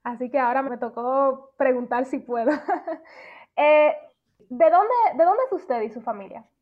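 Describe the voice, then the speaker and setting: Bright-voiced woman hosting a podcast. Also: sits high, measured pace, dry recording, phone-quality sound. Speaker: podcast host. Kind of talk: scripted narration and interview.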